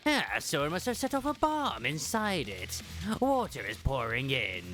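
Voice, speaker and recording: An anxious crew member from an anime game, acted by a man. The delivery is deferential and apologetic in tone, with lively pitch movement and music behind. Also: moderate pace. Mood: alarmed.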